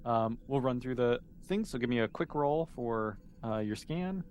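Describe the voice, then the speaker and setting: A man's voice: medium pitch, expressive, moderate pace, darkish tone, clean tone. A man acting as game master, casually improvising narration for a tabletop role-playing game and thinking aloud as he goes.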